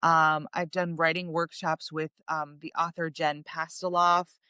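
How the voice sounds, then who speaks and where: A woman's voice: deep voice, flat delivery, a little slow, sharp tone, smooth, dry recording, clean studio sound. A woman talking as a podcast guest in a chatty way, full of "um" and "like". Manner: chatty, lots of "um" and "like". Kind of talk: casual podcast conversation.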